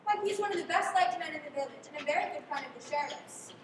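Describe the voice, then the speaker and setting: A woman's voice: high-pitched, animated, fast. A young woman playing a skeptical, headstrong young lady in a live radio play, arch and indignant. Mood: mocking, skeptical. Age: young adult. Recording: echoey, noisy livestream, distant mic.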